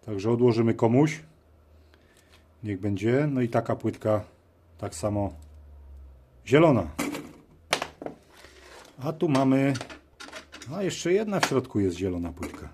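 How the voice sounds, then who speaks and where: A man's voice: mid-range voice, lively intonation, unhurried, pauses often, mellow. A man giving informal running commentary as he works, muttering to himself in short phrases. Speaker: video host. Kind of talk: casual running commentary while working. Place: cluttered workshop bench, indoors. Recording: clear background noise with clicks. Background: noise and clicks of tools and parts.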